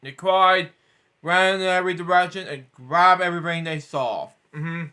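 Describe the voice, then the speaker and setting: A man with a high-pitched voice reads a children's story aloud. His tone is bright and forward. He reads haltingly, tripping on words and drifting into little repeated comments between sentences. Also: fairly even intonation. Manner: halting, stumbles over words and breaks off for repeated asides. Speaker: storybook reader.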